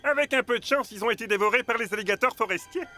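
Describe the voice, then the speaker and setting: An actor playing a quirky cartoon rescue expert who speaks of absurd dangers in a blithe, matter-of-fact, morbid way. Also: male voice, very high-pitched, racing, bright, forceful tone, faint music behind.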